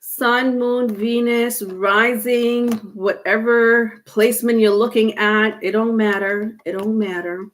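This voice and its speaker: A woman's voice: a little high, little pitch movement, takes it slowly, hardly any pauses. Woman w a stuffy nose rambling on a live stream, lots of ums, no mic. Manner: chatty, many fillers, greets viewers. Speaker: tarot reader streaming live. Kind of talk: casual live-stream chat. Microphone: no microphone, device mic.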